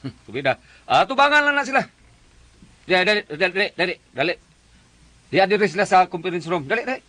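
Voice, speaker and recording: A man's voice: high-pitched, very animated, quick pace, heavy background noise. A man playing a harried village captain confronting a wayward official in a comedy drama, with a Cebuano Filipino accent. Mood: brisk. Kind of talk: comedic radio drama acting.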